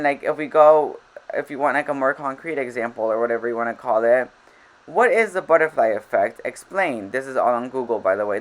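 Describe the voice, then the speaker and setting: A woman's voice: very deep voice, poor audio. Chatty, rambling woman with Spanish first language. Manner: rambling, self-interrupting, chatty tangents. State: a little frazzled. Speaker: game vlogger. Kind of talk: casual voiceover over gameplay.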